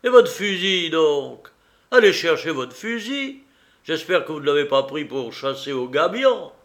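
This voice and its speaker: A fearless old sea captain from an adventure storybook, acted out by a man. He blusters and barks commands, loud and pitched up, with a short temper in every phrase. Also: steady tone, moderate pace, lightly acted. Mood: barking orders. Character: gruff, hot-tempered old sea-dog ship's captain, fearless, bellowing. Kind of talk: audiobook character voice.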